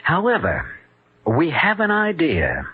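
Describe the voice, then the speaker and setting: An actor playing a calm, earnest younger doctor in an old-time radio drama. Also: male voice, on the high side, slow pace, slightly rough, full character voice. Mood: tactful, coaxing. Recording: dull, noisy old radio recording.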